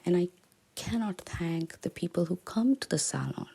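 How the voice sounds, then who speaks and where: A woman hosting a podcast, giving closing remarks and thanks to listeners in a soft, half-whispered way. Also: lowish voice, lively intonation, moderate pace, creaky, breathy edge. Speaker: podcast host.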